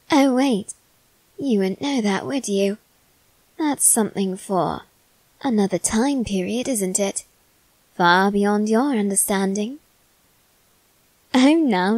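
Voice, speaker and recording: A woman voicing a condescending immortal demon boy in an ASMR roleplay, speaking softly with a teasing, smug manner. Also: medium pitch, no room sound, poor audio. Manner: soft-spoken, teasing and condescending.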